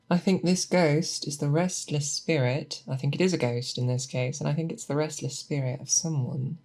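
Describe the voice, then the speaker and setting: A woman playing a seasoned, patient monster hunter in an ASMR roleplay, speaking softly and thoughtfully to an apprentice, close to the mic. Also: sits low, gravelly, breathy edge, heavily acted. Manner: deliberate, hesitant, thoughtful explaining. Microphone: close binaural microphone.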